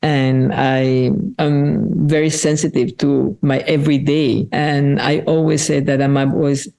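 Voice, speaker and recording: Mellow-voiced woman. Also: deep voice, flat delivery, vocal fry. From Peru. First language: Spanish. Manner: thoughtful, earnest, often slows down to weigh her words. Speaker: artist being interviewed. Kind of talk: reflective interview answers.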